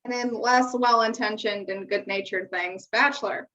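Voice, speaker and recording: A woman's voice: medium pitch, fairly even intonation, normal speed, sharp tone. A young American woman co-hosting a podcast, chatting casually in an informal, encouraging way, on a muffled, distant recording. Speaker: podcast co-host. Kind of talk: casual chat. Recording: muffled, distant-sounding microphone.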